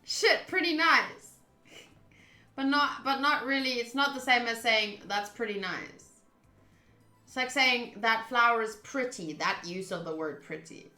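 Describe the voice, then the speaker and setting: A chatty, expressive woman, a native Afrikaans speaker, streaming a game with casual talk and reading aloud. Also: on the high side, unhurried. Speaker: streamer playing a visual novel. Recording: roomy sound with music underneath. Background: game music.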